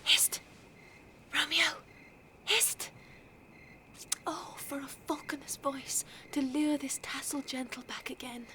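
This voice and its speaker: An actress with an English accent playing a lovestruck, eager teenage noblewoman in a Shakespeare audio drama, tender and breathy, often dropping to whispers. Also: high voice, steady tone, takes it slowly, pauses often, background noise. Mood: yearning, playful. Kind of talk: classical verse audio drama.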